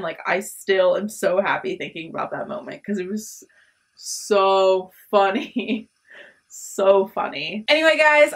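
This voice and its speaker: A woman's voice: medium pitch, a bit bright. A young woman hosting her own vlog, telling a funny story in a chatty way with lots of fillers and laughs. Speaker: vlog host. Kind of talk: casual storytelling.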